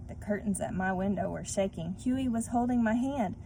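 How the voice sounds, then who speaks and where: A woman reading and discussing a children's story like a teacher, expressively and speaking directly to young listeners, with clear background noise. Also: mid-range voice, moderate pace, dark tone, poor audio. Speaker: read-aloud teacher. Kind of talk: children's story read-aloud with questions to listeners.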